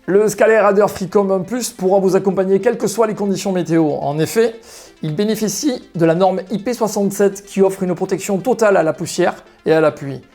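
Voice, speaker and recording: Male product presenter, mellow and creaky delivery, explanatory, addressing viewers over background music. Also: high-pitched, fairly quick. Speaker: motorcycle shop product presenter. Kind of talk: product presentation, semi-scripted.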